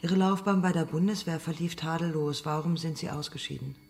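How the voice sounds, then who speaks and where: A woman playing a probing investigator in a radio drama, questioning in a controlled, provoking manner with a creaky voice. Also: low-pitched, fairly even intonation, brisk, darkish tone. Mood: cool, needling. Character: probing, needling female investigator interrogating a witness.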